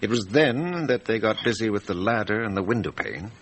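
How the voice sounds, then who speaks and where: A man's voice: on the low side, expressive, fairly quick, a little hiss or hum. A man playing an insistent examining magistrate in a radio drama, asking formal, pointed questions.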